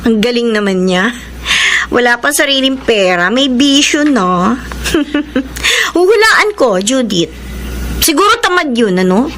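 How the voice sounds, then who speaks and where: An actress with a Filipino accent playing a nosy, outspoken friend in a radio drama, animated and teasing. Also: female voice, on the high side, slow, a little hiss or hum, heavily acted. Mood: teasing, judgmental. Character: nosy, outspoken, sassy woman friend of housewife.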